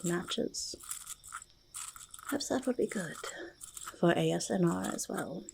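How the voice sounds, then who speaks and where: A creaky little goblin, played by a woman, murmurs and whispers in a soft-spoken ASMR roleplay. The delivery is quaint and halting, with words repeated as if fondly turning over a treasure, slightly menacing underneath. Faint noise sits behind the voice. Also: lowish voice, full character voice. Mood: curious, pleased. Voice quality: breathy half-whisper with creaky fry. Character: quirky, treasure-loving, mildly menacing forest goblin, speaking in third person.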